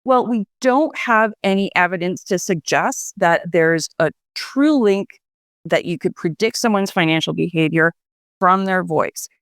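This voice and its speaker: A woman researcher explains her study in a radio interview, hedging with soft fillers as she goes. Her voice has a slightly gravelly edge. It sounds close and dry, with no room echo. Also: lowish voice, measured pace. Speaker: psychology researcher guest.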